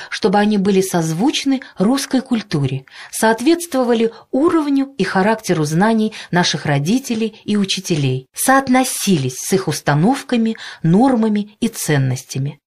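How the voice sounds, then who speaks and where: Casting: female audiobook narrator for nonfiction, medium pitch, animated intonation, measured and orderly phrasing.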